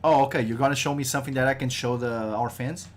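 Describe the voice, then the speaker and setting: A man's voice: mid-range voice, steady tone, fast talker, a little hiss or hum. A man hosting a casual podcast livestream, joking and loose with a groggy voice. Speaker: podcast host.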